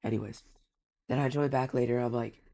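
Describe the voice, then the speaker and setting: Congested young man with a gravelly voice. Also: medium pitch, fairly even intonation, a little fast, warm tone. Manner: rambling, repeats himself, slangy. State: congested from a cold. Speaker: gaming YouTuber. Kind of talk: casual storytime commentary.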